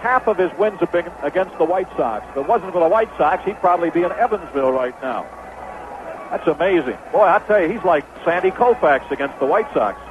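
Thin old-radio baseball announcer, higher-pitched man. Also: animated, brisk, phone-quality sound, background noise. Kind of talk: radio sports commentary.

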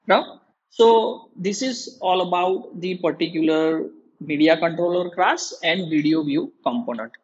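A man giving a halting, step-by-step screen tutorial as a programming lecturer. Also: fairly high pitch, husky, dull audio. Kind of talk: screen-recorded tutorial explanation.

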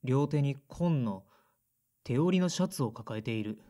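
A man's voice: mid-range voice, lively intonation, a little slow, mellow, natural voice. An actor playing a blunt, sullen man in his thirties in an audio drama, casual and introspective. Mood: subdued, reflective. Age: 30s. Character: sullen, blunt son of a weaving family, mid-thirties. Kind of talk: audio drama narration and dialogue.

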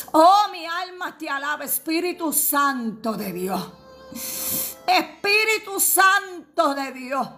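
A woman preacher with a high, bright voice delivers a fervent Pentecostal prophecy. She speaks slowly and emphatically, repeating her exhortations and breaking into tongues.

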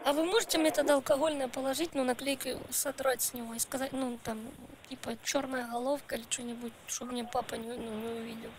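A man's voice: very high-pitched, steady tone, fast talker, hardly any pauses, a little hiss or hum, rough recording. A man voicing a whiny, stammering boy who makes a prank call and thinks he is special. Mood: sulky. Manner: stammering, sulky, petulant. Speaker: prank caller. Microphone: handheld mic.

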